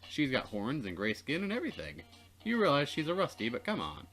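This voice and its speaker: A man reading a visual novel aloud as a Let's Play narrator, expressive and playfully dramatic. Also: mid-range voice, fairly quick, poor audio.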